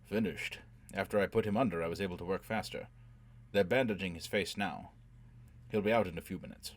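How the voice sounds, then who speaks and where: Guy reading as shady sci-fi doctor man, lowish voice, dry and pleased with himself. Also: brisk. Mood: smug, dry.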